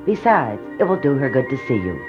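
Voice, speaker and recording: A woman's voice: very deep voice, big pitch swings, brisk, voice pushed down. A woman reading a storybook aloud, voicing a caring but firm mother giving instructions to her daughter. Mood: instructing. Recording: dull lo-fi recording with music behind.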